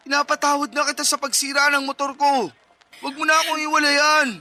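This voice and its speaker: A man's voice: very high-pitched, completely flat delivery, normal speed, pushed and bright, full character voice. A man playing a manipulative, womanizing young boyfriend in a melodramatic radio drama, whining, defensive and accusatory. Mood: pleading.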